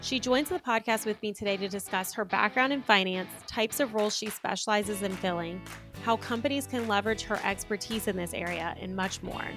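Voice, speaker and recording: A woman's voice: mid-range voice, brisk, over music. A woman hosting a podcast, reading a scripted intro and then chatting upbeat with her guest. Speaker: podcast host.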